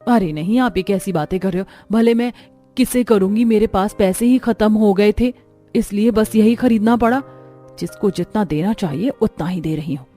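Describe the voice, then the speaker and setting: A woman's voice: medium pitch, normal speed, warm tone, soft background music, close to an everyday voice. A woman voicing a melodramatic middle-aged stepmother in a cartoon, scheming and doting, defensive and pleading.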